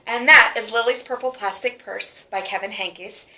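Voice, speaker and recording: A woman reading a children's story aloud in an animated way, stretching words for emphasis. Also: fairly high pitch, normal speed. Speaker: storybook reader. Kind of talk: expressive children's read-aloud. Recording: roomy, echoing sound.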